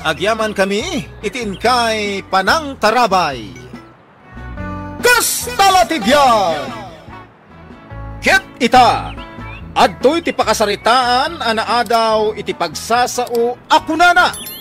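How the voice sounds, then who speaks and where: A man's voice: extremely high pitch, big pitch swings, slow pace, over music. A man with an Ilocano accent announcing a radio drama in a theatrical, declamatory scripted style.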